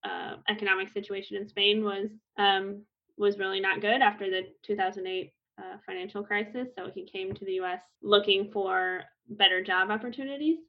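Woman, 30s, Spanish teacher, easygoing chat with plenty of ums, mid-pitched, slightly dull lo-fi sound. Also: moderate pace. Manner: relaxed, with frequent fillers and restarts. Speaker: Spanish instructor. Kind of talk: casual conversational explanation. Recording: slightly muffled lo-fi recording.

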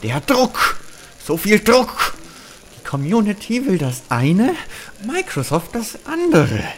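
A man's voice: high-pitched, sing-song, takes it slowly, exaggerated voice. A man playing an overwhelmed, self-pitying game studio director who rambles in anguish in an audio comedy sketch.

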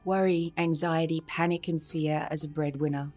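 A woman's voice: deep voice, steady tone, moderate pace, a little mellow, soft background music. A woman giving a poetic recitation in a radio play.

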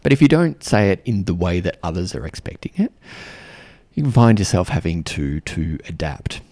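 A middle-aged man from Australia with a mild accent speaks low and unhurried, his voice gravelly with fry. He talks to his listeners in a rambling, reflective way, filling his pauses with ums and uhs. The recording is dry and close, with no room sound. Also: big pitch swings, a little mellow, no room sound. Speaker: podcast host and diplomatic mentor. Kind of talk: casual solo podcast talk.

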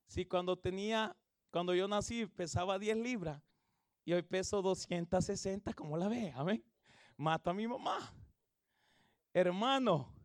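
A man, preaching an evangelistic sermon and leading the congregation in reading, with phrasing that swells into shouts. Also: high voice, dry recording, studio quality. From El Salvador. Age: 30s. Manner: calls everyone "hermano", drawn-out emphatic phrasing. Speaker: pastor.

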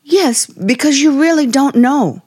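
An actress playing a timid, polite young aspiring writer, halting and nervous, in 1950s radio suspense style. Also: female voice, high voice, mellow. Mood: uneasy, thoughtful.

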